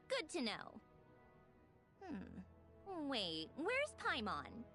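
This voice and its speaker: A woman's voice: high voice, wildly swooping pitch, extremely slow, pushed and bright, soft background music, heavily acted. A woman playing a poised, haughty and teasing noblewoman in a video game, refined, languid and condescending. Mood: coy, probing.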